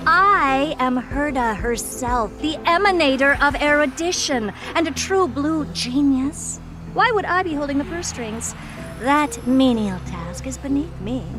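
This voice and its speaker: A woman's voice: sits high, animated, unhurried, music in the background. An actress playing a haughty, blunt young female genius scientist in a video game, dry and self-assured. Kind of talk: animated video game voice acting.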